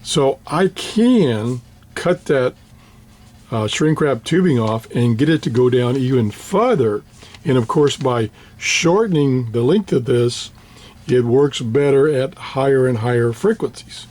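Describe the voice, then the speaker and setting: A man's voice: medium pitch, expressive, a little slow, darkish tone. Older man rambling about radio gear. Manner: rambling, hesitant, many fillers and restarts. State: slightly hoarse, clearing throat. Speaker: ham radio hobbyist vlogger. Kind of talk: casual show-and-tell.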